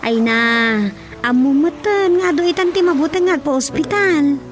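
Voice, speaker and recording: A woman's voice: high-pitched, lively intonation, moderate pace, soft background music. A woman with an Ilocano accent playing a village mother in a Filipino radio drama. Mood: worried.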